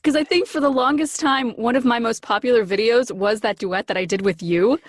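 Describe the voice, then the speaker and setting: A woman's voice: a little high, brisk, no echo, clean studio sound. An enthusiastic, bubbly woman chatting casually as an interview guest. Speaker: podcast guest, voice actor.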